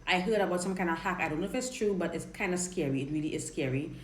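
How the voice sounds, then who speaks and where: A woman's voice: on the low side, fast. Chatty Trinidadian woman on a live stream. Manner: chatty, frank, repeats and restarts words. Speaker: dating coach hosting a live Q&A. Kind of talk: casual live-stream chat reading viewer questions. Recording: roomy live-stream audio with faint noise.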